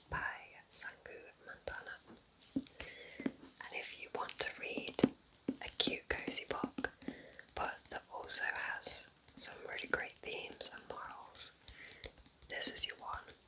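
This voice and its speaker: An ASMR host recommending books in a breathy, unvoiced whisper, soft, unhurried and gently enthusiastic. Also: poor audio.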